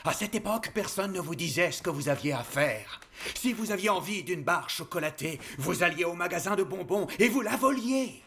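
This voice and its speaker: A man's voice: sits high, expressive, moderate pace, fairly bright tone, slight background noise. A man playing a sly, bossy cartoon fox villain with a theatrical, mocking sneer. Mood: scornful, goading. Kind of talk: French-language animated film dialogue.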